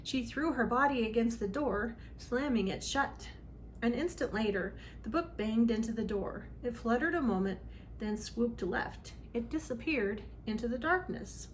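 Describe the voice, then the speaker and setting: A woman's voice: a little high, lively intonation, unhurried, slight background noise, low-quality recording. A woman hosting a children's read-aloud, reading a storybook expressively with dramatic emphasis.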